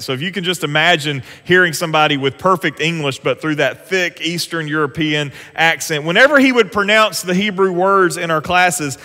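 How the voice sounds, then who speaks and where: A pastor, preaching an earnest, conversational sermon from a church stage. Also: male voice, a little high, pushed and bright. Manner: earnest, conversational preaching with rising emphasis on scripture. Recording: long church hall reverb.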